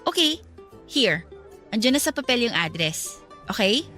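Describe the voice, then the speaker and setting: Woman acting a brisk, high-voiced lady customer. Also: sing-song, bright, forceful tone, soft background music, full character voice. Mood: brisk, casual. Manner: casual, switches into English. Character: brisk, well-off female shop customer. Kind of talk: radio drama acting.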